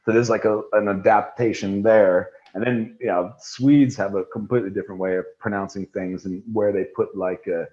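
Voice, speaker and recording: A man's voice: on the low side, steady tone, normal speed, hardly any pauses, darkish tone, muffled recording. A man, a metal singer and podcast guest, with an American accent touched by Southern and Swedish sounds, talking in a rambling, laid-back way. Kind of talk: casual chat.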